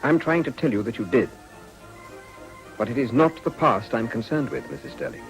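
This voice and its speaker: Casting: insinuating English gentleman played by a man, upper-class accent, mid-pitched with supple, varied intonation, measured and formal, period drama. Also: sing-song, faint music behind, natural voice, poor audio. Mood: stern, warning. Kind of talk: British period drama acting.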